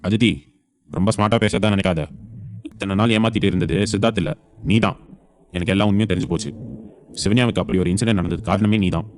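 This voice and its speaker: A man performs a protective grandfather in an audio drama. His voice sits fairly low and moves quickly. He speaks with stern, emphatic authority. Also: steady tone, natural voice. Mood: angry. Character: stern, protective grandfather, indignant family elder. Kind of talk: audio serial drama acting.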